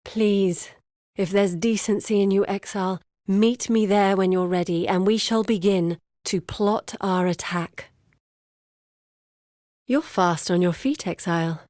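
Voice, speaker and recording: A woman's voice: medium pitch, fairly even intonation, measured pace, a little mellow, no room sound, studio quality, lightly acted. An actress playing an earnest, determined woman scholar in a video game, speaking in a solemn, articulate and urgent dramatic manner. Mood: urgent, pleading. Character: earnest, determined woman scholar grieving her lost father. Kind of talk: dramatic scripted game dialogue.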